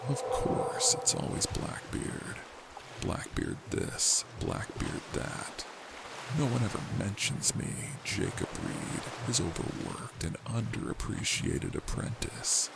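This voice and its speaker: An actor playing the bitter, sarcastic ghost of a forgotten pirate's apprentice. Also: male voice, lowish voice, expressive, takes it slowly, vocal fry, heavy background noise, full character voice. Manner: sarcastic, aggrieved. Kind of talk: audio drama acting.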